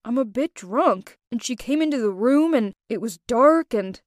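A woman's voice: fairly high pitch, animated, moderate pace, no echo, studio quality, close to an everyday voice. A woman voicing a flustered, drunk young man who stammers out excuses.

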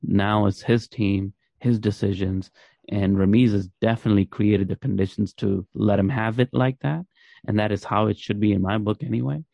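Low gravelly guy chatting sports on a podcast, explains stuff with questions, muffled lo-fi sound. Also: steady tone, moderate pace, mellow, no room sound. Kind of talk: casual cricket discussion. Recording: dull lo-fi dry audio.

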